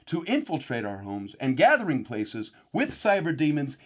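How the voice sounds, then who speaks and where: An actor playing a rambling paranoid uncle who is a guest on a conspiracy show, with sudden excitable outbursts. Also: male voice, mid-range voice, moderate pace, background noise. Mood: rambling indignant. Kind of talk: deadpan parody talk show.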